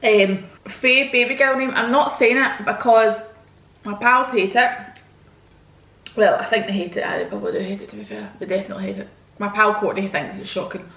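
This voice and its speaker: A woman's voice: mid-range voice, steady tone, normal speed, vocal fry, a bit bright, echoey room, low-quality recording. A woman, chatting frankly and casually to her viewers while answering their questions. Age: 20s. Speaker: vlogger. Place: home, indoors. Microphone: camera mic.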